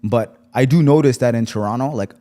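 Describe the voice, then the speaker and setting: A man's voice: medium pitch, fairly quick, mellow. A young man from the United States, a podcast guest talking in a reflective, loose and meandering way. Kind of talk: casual podcast chat.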